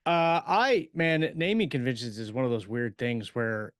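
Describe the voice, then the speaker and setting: Male podcast host, medium pitch, casual chat, dry acoustic. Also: normal speed, no echo. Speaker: podcast host and science fiction author. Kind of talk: casual, loose conversational hosting.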